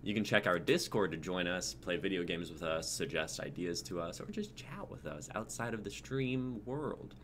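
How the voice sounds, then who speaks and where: Young guy hosting a stream, real low but bright voice, just chatting, bit of background noise. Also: expressive, moderate pace, hardly any pauses. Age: young adult. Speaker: livestream host. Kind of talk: casual sign-off chat. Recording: background noise, livestream audio.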